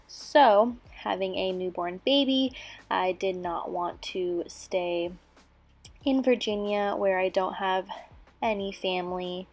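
A young woman from San Diego chats her way through a laundry vlog, upbeat and rambling with plenty of ums and likes. Her voice sits at an ordinary middle pitch. She is picked up by a camera mic indoors at home, sometimes close at arm's length and sometimes from across the room. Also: a little mellow. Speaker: mom vlogger. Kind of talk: casual chat over household chores. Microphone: camera mic, sometimes handheld at arm's length, sometimes from across the room.

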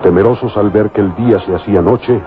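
A man's voice: on the low side, fast talker. A man narrating an old radio serial in a solemn, theatrical, scripted style. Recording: muffled vintage radio recording. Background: loud dramatic music.

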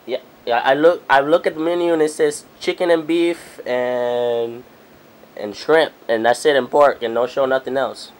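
A young man speaking casually as a customer on a prank phone call, halting and repeating himself. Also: medium pitch, steady tone. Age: young adult. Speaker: prank caller, video host. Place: small room at home.

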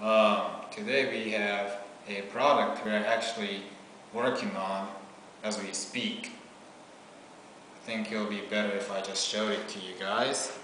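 A man's voice: fairly low pitch, little pitch movement, unhurried, pushed and bright, slight background noise. A man in his twenties giving a casual, laid-back product demo in an informal way. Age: 20s. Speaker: product presenter. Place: small bare meeting room indoors. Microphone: camera on table, about arm's length or farther. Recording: echoey room, faint music.

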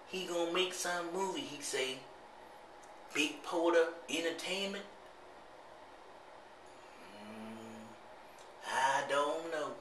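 A man doing a slow country sibling. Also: on the high side, bright, forceful tone. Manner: drawling, rambling, teasing. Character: slow, simple-minded gossipy country sibling. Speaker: comedy vlogger. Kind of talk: comic monologue to camera. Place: small tiled home bathroom. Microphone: handheld camera at arm's length. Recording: echoey bathroom, faint hiss.

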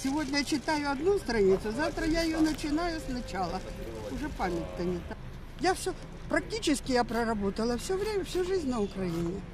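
A woman's voice: sits high, big pitch swings, a little fast. An older woman, a pensioner, answering a reporter on the street in a chatty, self-deprecating way. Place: outdoors on a city street. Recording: street noise.

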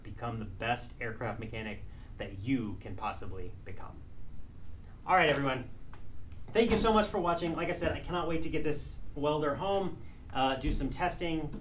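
A man's voice: mid-range voice, a little hiss or hum. A young man, an aircraft mechanic, talking casually to camera in an indoor workshop, rambling and correcting himself as he goes. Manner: rambling, with restarts and self-corrections. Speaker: aircraft mechanic and channel host. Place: indoor workshop classroom with benches and tool cabinets.

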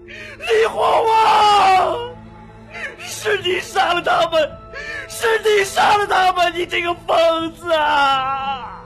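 A man's voice: very high voice, lively intonation, slow, raised voice, pitched above the performer's own voice, heavily acted, poor audio. A man voicing an unstable, haunted young man who frantically denies his guilt in an audiobook, muttering and repeating himself. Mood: hysterical screaming. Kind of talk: audiobook character acting. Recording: dramatic music behind the voice.

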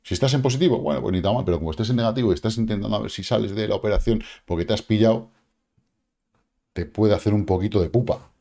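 Low mellow voice of a chatty Spaniard. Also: male voice, fast talker. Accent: Spain Spanish. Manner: chatty, jokey, addresses viewers directly with asides and repeated "vale". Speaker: crypto market commentator. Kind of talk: casual explainer talking to camera. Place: small indoor studio with purple lighting.

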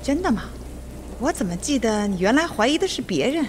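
A woman's voice: on the high side, very animated, a little fast, a little mellow, faint music behind. Shrewd, composed judge played by a woman. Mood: cool, commanding. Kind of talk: Mandarin radio drama acting.

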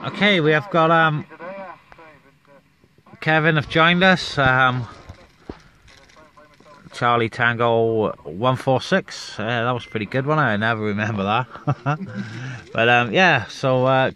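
A man chatting casually over CB radio from a parked car on open moorland, relaxed with lots of fillers. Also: mid-range voice. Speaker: CB radio operator and vlogger. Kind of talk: casual on-air radio chat.

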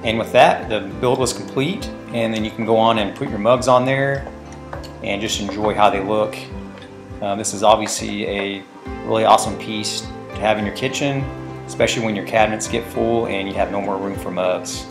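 A man's voice: fairly low pitch, moderate pace, music in the background. A man in his thirties, host of a woodworking channel, explaining a build casually with plenty of fillers, recorded on a lapel mic in his workshop. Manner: relaxed, plain-spoken, many fillers. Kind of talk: casual how-to narration. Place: woodworking shop indoors.

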